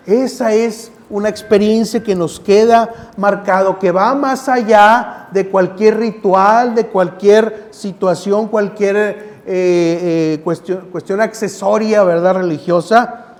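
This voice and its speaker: High-pitched, slow-paced male preacher. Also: darkish tone. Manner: conversational, repeating phrases for emphasis. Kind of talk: sermon with scripture reading.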